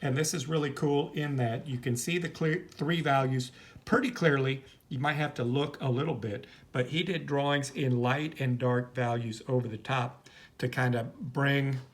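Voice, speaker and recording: A man's voice: medium pitch, fairly even intonation, normal speed. A man teaching art in an informal, chatty, rambling hands-on demonstration, easily drifting into asides. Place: at home, indoors. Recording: noisy home recording, clicks.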